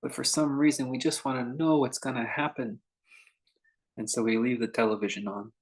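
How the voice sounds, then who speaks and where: A man guiding a meditation in an informal, conversational way, speaking in short phrases with unhurried pauses between them. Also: medium pitch, little pitch movement. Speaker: meditation teacher leading a guided meditation. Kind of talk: unscripted guided meditation talk.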